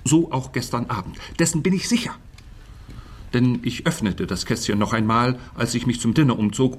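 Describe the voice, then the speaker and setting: An actor playing an elegant, anxious middle-aged minister in a radio drama, giving a formal, precise and earnest account in a husky voice. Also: male voice, mid-range voice.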